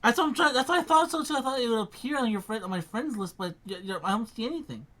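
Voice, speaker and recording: A mid-pitched voice chats informally about video games. The speaker is a stream co-host who talks in a halting way, often repeating words while working toward the point. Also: lively intonation, bright, forceful tone. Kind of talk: casual gaming chat.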